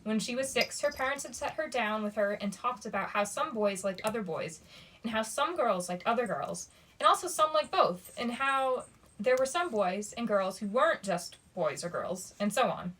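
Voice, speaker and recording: A woman's voice: a little high, lively intonation, moderate pace, fairly bright tone, low-quality recording. Woman reading a story aloud. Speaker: reader of a bedtime story. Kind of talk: expressive audiobook-style narration of young adult fiction.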